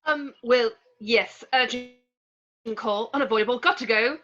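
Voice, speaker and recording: A woman playing a vain, cowardly male wizard professor in a script read, pompous, halting and blustering. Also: a little high, fairly bright tone, dull audio. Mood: flustered. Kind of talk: amateur table read of a film script.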